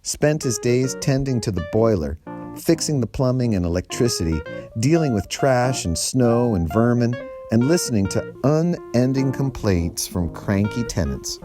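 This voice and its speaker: A man reading a story aloud as a storyteller, with deliberate, dramatic phrasing and emphasis. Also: medium pitch, expressive, over music. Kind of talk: expressive storybook narration.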